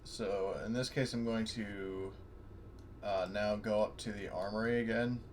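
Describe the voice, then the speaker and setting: A man's voice: on the low side, steady tone, measured pace, slight background noise. A man playing a tabletop game, rambling with lots of fillers and restarts. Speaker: player. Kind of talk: casual tabletop game chat.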